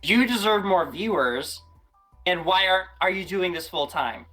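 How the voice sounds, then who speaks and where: A woman talking as a guest on a casual podcast, chatty and animated, often restarting her sentences. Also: on the low side, measured pace, music in the background.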